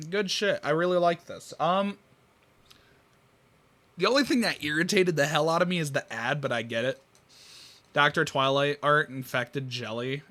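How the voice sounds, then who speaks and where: Bright-voiced young man reacting casually. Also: mid-range voice. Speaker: reaction video host.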